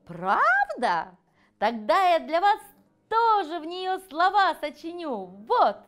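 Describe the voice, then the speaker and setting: A woman's voice: very high-pitched, wildly swooping pitch, takes it slowly, a bit bright, faint music behind, pitched above the performer's own voice, exaggerated voice. A woman voicing a shy but joyful young female frog in a children's storybook, with sing-song exclamations. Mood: delighted.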